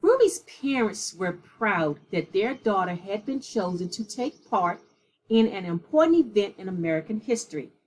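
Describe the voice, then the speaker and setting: Female storyteller, mid-pitched and a little husky, measured read-aloud of a children's picture book. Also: sing-song, low-quality recording.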